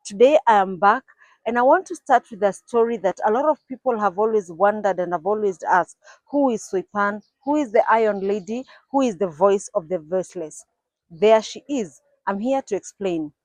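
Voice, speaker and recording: A woman's voice: medium pitch, expressive, normal speed, darkish tone, no room sound. Kenyan woman, 40s, reflective vlogger recounting her childhood, dry and close. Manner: earnest, reflective, with occasional restarts. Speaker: YouTuber telling her life story. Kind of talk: personal storytelling to camera.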